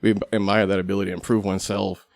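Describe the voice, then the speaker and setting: A man's voice: low voice, level tone, racing, creaky, a little mellow, no room sound, studio quality. A man speaking as a podcast panelist in a casual, reflective discussion.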